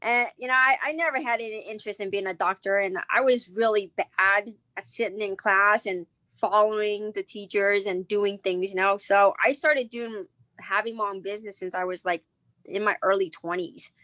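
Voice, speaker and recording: A chatty woman in her forties, originally from Vietnam, talks through her answers with lots of fillers and restarts. Her voice sits at a middle pitch, and the recording is close and dry. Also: moderate pace, sharp tone, no echo. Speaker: business owner guest. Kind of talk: casual interview answers.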